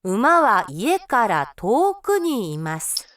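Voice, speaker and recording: A woman reading example sentences for a language course, slowly and with careful, precise enunciation. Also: on the high side, huge pitch swings, fairly bright tone, soft background music. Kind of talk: slow, clearly read example sentences.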